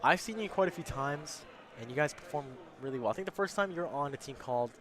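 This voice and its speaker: A man's voice: a little high, animated, fast, fairly bright tone. A man interviewing casually at an esports event, his voice a little worn from losing it. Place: large indoor gaming event venue.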